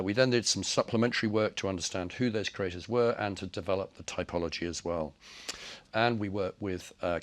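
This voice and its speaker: Croaky-voiced man presenting research. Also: sits low. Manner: talks with frequent "uh" hesitations. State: croaky from a cold. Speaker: report author presenting research.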